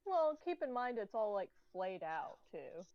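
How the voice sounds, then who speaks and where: A woman's voice: mid-range voice, sing-song, unhurried, a crowd in the background. Easygoing woman player, muffled mic. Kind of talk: casual chat at a game table.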